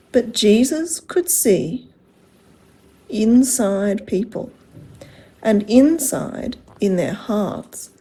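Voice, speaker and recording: Female children's storyteller, unhurried, soft-edged mellow tone with some huskiness, expressive read-aloud delivery. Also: on the high side, slightly rough, poor audio. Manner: expressive, simple phrasing addressed to children.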